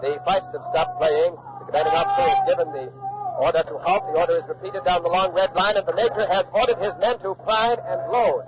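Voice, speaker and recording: Actor as high-pitched radio field reporter, vintage sound. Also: male voice, crowd noise, close to an everyday voice. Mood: urgent, tense. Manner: measured, descriptive, articulate eyewitness reporting. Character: earnest male radio field reporter at a colonial standoff. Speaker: on-the-scene news reporter. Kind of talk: 1940s radio docudrama live news commentary. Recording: dull old radio recording with hiss.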